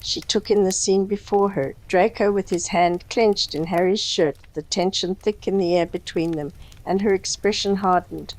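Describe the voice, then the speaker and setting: Woman, medium pitch, scripted narration, dramatic storytelling. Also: fairly even intonation, moderate pace, few pauses, rough recording.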